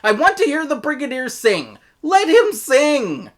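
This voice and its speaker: A woman with an American accent giving a scripted review in an animated manner, with bursts of mock-exasperated emphasis. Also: high voice, measured pace. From United States. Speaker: audio drama reviewer.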